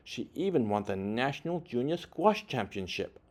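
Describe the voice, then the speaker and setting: A man reading a children's story aloud expressively, with deliberate phrasing and dramatic emphasis. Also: mid-range voice, big pitch swings. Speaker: children's book reader.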